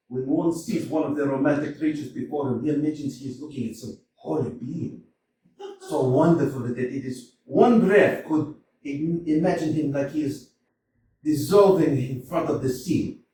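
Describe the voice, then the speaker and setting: An amateur actor playing a blustering, scornful landowner in a staged play reading, swinging between shouting and mock-tender crooning. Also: male voice, normal speed, a little hiss or hum, lightly acted. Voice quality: booming, theatrical. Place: small community theater stage. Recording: echoey hall from a distance.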